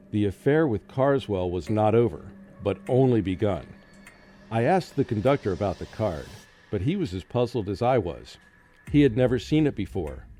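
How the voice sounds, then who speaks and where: A man's voice: lowish voice, mellow, a little hiss or hum. A man playing a nervous, haunted scholar who narrates a radio drama in dramatic storytelling style. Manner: dramatic first-person storytelling. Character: haunted, nervous male scholar recounting his ordeal.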